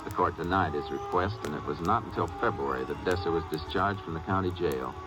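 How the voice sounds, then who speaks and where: An actor playing a calm, terse Texas Ranger in a radio crime drama, narrating and investigating in a matter-of-fact way. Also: male voice, deep voice, a little fast, music in the background, natural voice. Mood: measured, factual. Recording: noisy old radio drama recording.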